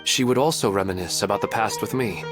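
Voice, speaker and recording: An actor voicing a grieving husband in a video game, speaking gently and quietly with a wistful tone. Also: male voice, on the low side, quick pace, natural voice. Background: game soundtrack music.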